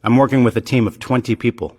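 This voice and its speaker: A man reading scripted practice dialogue for English learners in a measured, clearly spoken way. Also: mid-range voice, steady tone, mellow, long reverb tail. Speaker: dialogue reader in English lessons.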